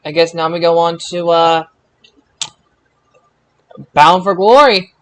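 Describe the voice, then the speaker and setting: A man with a high-pitched voice recaps sports results on a podcast panel. He speaks in a casual, conversational way. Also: steady tone, unhurried, fairly bright tone, smooth.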